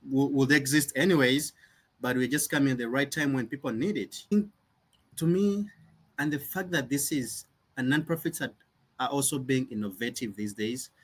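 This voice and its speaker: A man's voice: medium pitch, rough recording. Earnest young man, founder. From Uganda. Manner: earnest, reflective, with frequent restarts. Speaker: nonprofit founder, guest. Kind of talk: conversational interview.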